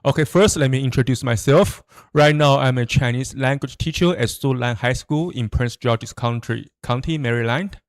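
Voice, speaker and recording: A man's voice: medium pitch, moderate pace, few pauses, dry recording. Man giving a lecture. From Taiwan. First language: Mandarin. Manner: frequent restarts and fillers, explains step by step. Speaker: presenter, high school teacher. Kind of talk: lecture presentation.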